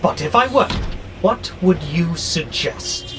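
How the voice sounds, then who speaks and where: An actor playing a belligerent, sardonic warehouse robot who grumbles with exasperation in an audio drama. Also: male voice, a little high, lively intonation, slow, over music. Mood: skeptical. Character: grumpy, belligerent male warehouse robot, war-hungry, wary.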